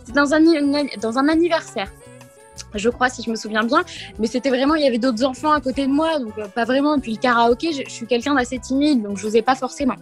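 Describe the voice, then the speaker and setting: A woman's voice: high-pitched, fast talker, soft background music. A young woman from Limoges, a singer answering radio interview questions, hesitant with many fillers and little laughs. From France, Limoges. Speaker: singer guest.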